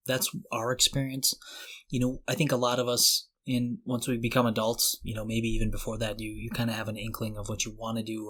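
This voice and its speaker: A man's voice: fairly low pitch, a bit bright. A man co-hosting a conversational podcast, talking earnestly and a little tentatively. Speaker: podcast co-host.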